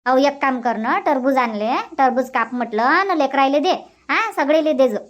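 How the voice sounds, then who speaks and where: A woman's voice: sits high, normal speed, natural voice. A woman voicing chatty, sociable village women in a cartoon comedy, speaking colloquial Vidarbha Marathi with repeated phrases. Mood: cheerful, welcoming. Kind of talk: cartoon comedy dubbing in rural dialect.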